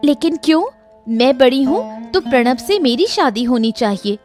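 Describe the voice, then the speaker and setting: A woman's voice: high voice, lively intonation, moderate pace, over music, voice pushed up. A woman voicing a young elder sister in a cartoon story, an insistent daughter. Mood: indignant. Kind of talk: dramatized Hindi cartoon story.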